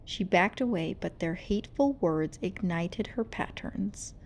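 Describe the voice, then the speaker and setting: A woman reading a storybook aloud in an expressive, suspenseful, dramatic narrating style. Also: fairly low pitch, unhurried, a little hiss or hum. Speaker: storybook reader.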